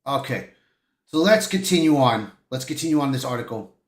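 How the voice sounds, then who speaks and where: A man's voice: medium pitch, lively intonation, a little fast, reverberant. A man hosting a livestream, reading articles aloud with casual, opinionated commentary and the odd stumble over words. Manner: informal, opinionated, punctuated with "bro", "man" and "dude". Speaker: livestream host.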